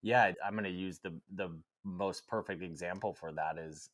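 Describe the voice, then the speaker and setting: A man with a very deep voice chats on a podcast in a relaxed, reflective way. He leans on "like" and "right?" as he builds his points, keeping things unhurried. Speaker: podcast co-host. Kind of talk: casual podcast conversation.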